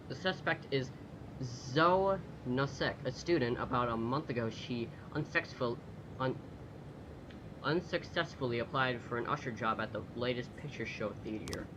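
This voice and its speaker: A man's voice: mid-range voice, measured pace, long pauses, dull audio, background noise. A man giving casual gameplay commentary as a video host, muttering to himself, swearing and reading text aloud.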